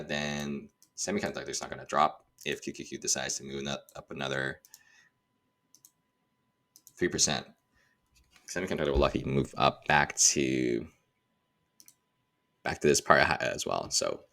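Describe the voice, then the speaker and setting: An extremely low-pitched man with a fry-laden, creaky voice gives informal trading commentary. He sounds like he is thinking out loud, stopping and starting as he walks viewers through the screen. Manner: informal, thinking aloud, halting. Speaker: stock trader giving market updates. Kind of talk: casual screen-share market commentary.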